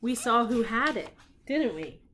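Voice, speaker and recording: A woman's voice: a little high, measured pace, a little hiss or hum. A middle-aged woman reading a picture book aloud to children at home, with casual, questioning asides. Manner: animated, questioning, child-directed. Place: living room at home.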